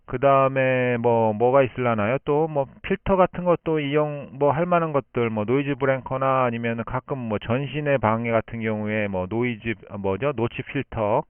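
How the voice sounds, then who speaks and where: A man speaking as an amateur radio operator in long, unscripted explanations, thinking aloud with pauses, over a band-limited shortwave link. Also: medium pitch, clear, resonant tone, no room sound, narrow, tinny audio.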